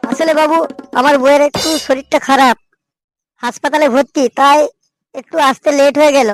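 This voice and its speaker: A high-voiced young cartoon layabout, played by a woman, brash and resentful. He grumbles and swears like a put-upon worker with a grudge. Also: steady tone, brisk, close to an everyday voice. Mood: meek. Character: foul-mouthed, resentful young male slacker worker with big threats. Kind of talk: Bengali comedy cartoon dub.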